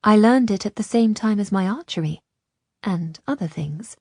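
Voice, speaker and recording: A woman voicing a defiant young female fighter, blunt and forceful, in an audiobook. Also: mid-range voice, lively intonation, normal speed, husky, dry recording, clean studio sound. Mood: warm.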